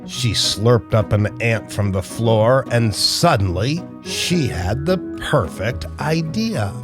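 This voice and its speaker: Animated, slow-paced man hosting children's storytime. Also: mid-range voice, music in the background. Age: older. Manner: playful, exaggerated, theatrical, addressing children directly. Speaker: children's entertainer, storytime host. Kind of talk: animated children's read-aloud and jokey intro.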